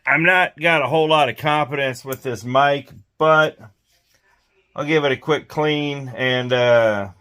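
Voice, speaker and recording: A man hosting a repair vlog, talking casually and thinking aloud in an unhurried way as he demonstrates hands-on work. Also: mid-range voice, a bit bright. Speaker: host of a radio repair vlog.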